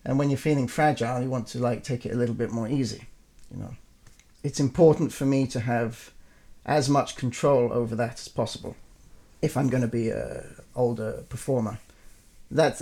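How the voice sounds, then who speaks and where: A man's voice: medium pitch, moderate pace, gravelly. A man in his forties, a performer, talking through his decisions in an interview with many fillers and restarts. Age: 40s. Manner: relaxed, frequent fillers and restarts. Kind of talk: reflective conversational interview.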